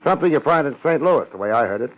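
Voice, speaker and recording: An actor playing a tough, weary private detective in a 1950s radio drama, American accent, terse wisecracking delivery. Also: male voice, medium pitch, animated, very fast, lo-fi sound, natural voice. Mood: casual probing. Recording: noisy 1950s radio recording.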